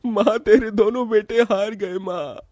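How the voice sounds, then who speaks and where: Man acting an earnest, high-pitched poor brother. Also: fairly even intonation, unhurried, a little mellow, dry recording, studio quality. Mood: despairing. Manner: earnest, emotional. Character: self-sacrificing, protective poor young elder brother.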